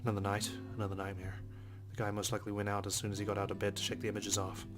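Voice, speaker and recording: A man's voice: lowish voice, completely flat delivery, racing. A man hosting a let's play, reading a visual novel's first-person narration aloud. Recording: music under the voice. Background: game music.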